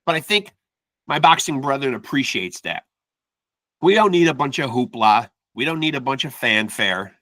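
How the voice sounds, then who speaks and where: A man hosting a podcast interview, talking in an energetic, casual way and calling his guest "man" again and again. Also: medium pitch, dry recording. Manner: energetic, peppers speech with "man". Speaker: podcast host. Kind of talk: casual chat.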